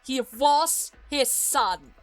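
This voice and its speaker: A woman's voice: sits high, sing-song, unhurried, fairly bright tone, soft background music, exaggerated voice. A woman acting a defiant young noblewoman and daughter in a dramatic game story, accusing and emphatic with righteous anger. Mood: furious.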